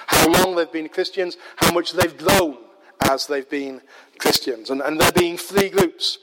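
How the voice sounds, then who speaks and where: A man with a Yorkshire accent preaching a measured, explanatory sermon, often pausing with "uh". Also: fairly high pitch. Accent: Yorkshire English. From Yorkshire, England. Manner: measured, explanatory, with frequent "uh" fillers. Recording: loud background noise.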